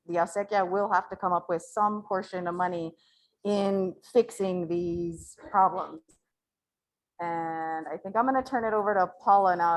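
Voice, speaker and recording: A woman moderating a community meeting, who apologetically pauses the speaker and slowly recaps his points in plain words. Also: medium pitch, low-quality recording. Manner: apologetic, clarifying, slows down to recap. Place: library community center meeting room.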